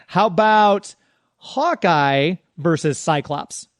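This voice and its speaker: A man's voice: high-pitched, big pitch swings, extremely slow, no room sound, clean studio sound. A man hosting a radio show in a chatty, informal, off-the-cuff way, moving between casual talk and sponsor reads.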